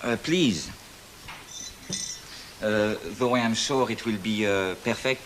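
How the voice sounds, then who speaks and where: An actor playing a French visitor with a French accent, speaking halting, formal English and searching for words. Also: male voice, fairly low pitch, fairly bright tone, a little hiss or hum. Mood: polite, halting.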